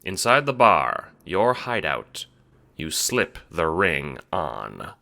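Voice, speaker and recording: A man's voice: deep voice, very animated, slow pace, vocal fry, pushed and bright. A man reading scripted second-person narration for an audio drama. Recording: music under the voice.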